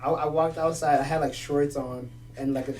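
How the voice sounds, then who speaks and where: A man's voice: on the high side, steady tone, a little fast, darkish tone. A young man in his twenties from Ethiopia, a guest telling stories in a casual podcast chat. He laughs often and repeats words as he gets going. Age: 20s. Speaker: guest, resident assistant. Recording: voice a bit far from the mic.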